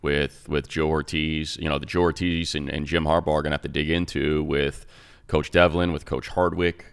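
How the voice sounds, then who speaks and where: A man's voice: rumbling low, flat delivery, normal speed, vocal fry, clean tone. An American man co-hosting a sports talk show, a radio announcer breaking down team news conversationally, thinking aloud with restarts. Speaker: radio play-by-play announcer and co-host.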